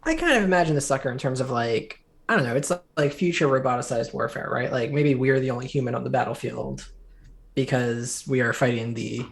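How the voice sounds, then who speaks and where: A man co-hosting a game development stream, chatting casually with wry, relaxed asides over a video call from a home room. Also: mid-range voice, brisk, vocal fry. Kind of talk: casual chat during a dev stream.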